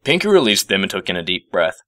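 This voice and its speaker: A man narrating a scripted story as an expressive storyteller. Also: low-pitched, fast talker, no room sound, clean studio sound.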